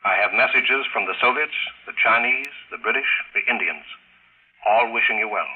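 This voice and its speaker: A man's voice: mid-range voice, moderate pace, a little hiss or hum. A man playing a solemn launch controller over a radio intercom in a 1950s drama, with grave, declamatory announcing. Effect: radio intercom filter. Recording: phone-like filtered vintage radio.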